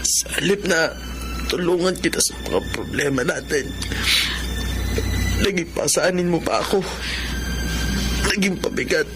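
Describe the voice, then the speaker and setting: An actor playing an injured, remorseful younger brother in a Filipino radio drama, halting and apologetic. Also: male voice, a little high, natural voice, low-quality recording. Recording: music behind the voice.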